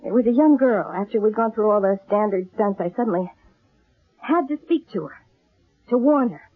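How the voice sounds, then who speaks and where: A woman's voice: mid-range voice, expressive, normal speed, breathy edge. An actress playing a shrewd, middle-aged female fortune-teller and con artist, commanding and portentous, in old-time radio drama style. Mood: uneasy, earnest. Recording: thin, narrow-band old radio recording.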